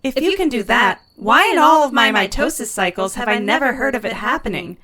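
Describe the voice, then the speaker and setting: A woman's voice: on the high side, animated, a little fast, bright, forceful tone. A woman playing a brusque, sardonic alien diner boss in an audio comedy, speaking bluntly. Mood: irritated. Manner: blunt, sarcastic. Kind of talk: audio sitcom acting.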